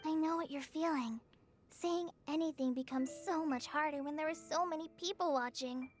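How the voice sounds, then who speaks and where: Woman acting high-voiced, thoughtful young game heroine. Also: moderate pace, faint music behind, natural voice. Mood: empathetic. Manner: pondering, hesitant. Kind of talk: anime-style video game voice acting.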